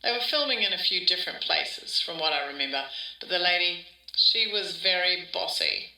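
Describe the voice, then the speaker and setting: A woman's voice: lowish voice, sing-song, normal speed, pushed and bright, exaggerated voice. A woman playing an older woman who rambles wistfully through childhood memories in a conversational, interview-style podcast drama. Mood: remembering. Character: wistful older Australian woman reminiscing about her small-town childhood. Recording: roomy echo, distant from mic.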